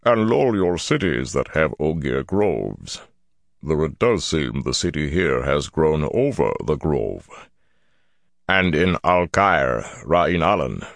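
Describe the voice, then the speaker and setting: A man voicing a ponderous, dignified old giant elder in a fantasy audiobook, with slow, halting, rumbling speech. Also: sing-song, dry recording, studio quality, heavily acted. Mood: fretful, hesitant. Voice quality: gravelly rumbling. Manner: slow, deliberate, halting delivery.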